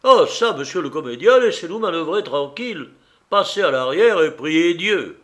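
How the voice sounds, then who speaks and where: A man voicing a gruff, hot-tempered old ship's captain in an audiobook, blustering and giving commands in exclamations. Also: on the high side, fairly even intonation, moderate pace, a bit bright, pitched above the performer's own voice, natural voice. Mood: angry, threatening. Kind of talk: audiobook character voice.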